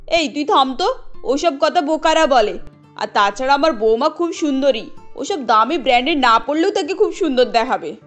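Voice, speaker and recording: A woman's voice: high-pitched, expressive, normal speed, faint music behind. A woman voicing a stingy, bossy elderly mother-in-law in a cartoon, with a dismissive way of talking. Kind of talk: cartoon dialogue acting.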